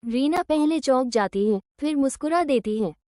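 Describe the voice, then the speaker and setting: A woman narrating a children's moral story in an even, simple storytelling manner. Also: high-pitched, moderate pace, no room sound, clean studio sound.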